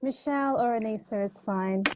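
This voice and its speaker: A woman's voice: a little high, moderate pace, background noise. A woman, chatting playfully and affectionately as a guest on a live podcast. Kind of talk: casual live chat.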